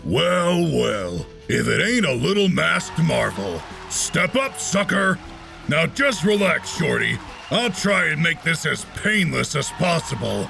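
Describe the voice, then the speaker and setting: A man's voice: fairly high pitch, very animated, takes it slowly, gravelly, bright, forceful tone, soft background music, heavily acted. A man voicing a cocky, brawny wrestler in a comic dub, taunting and slangy. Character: cocky brawny male wrestler, mocking street tough.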